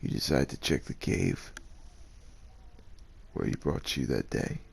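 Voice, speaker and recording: Very deep, gravelly male story narrator. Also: sing-song, unhurried. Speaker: narrator, voice actor. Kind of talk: scripted second-person story narration for ASMR.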